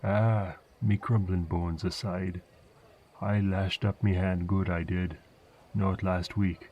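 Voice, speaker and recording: A man's voice: sits low, level tone, takes it slowly, highs cut off. A man voicing an old, chatty village farmer with a rambling dialect, in a fantasy audio roleplay. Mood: chatty, folksy. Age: older. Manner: folksy, rambling rustic dialect.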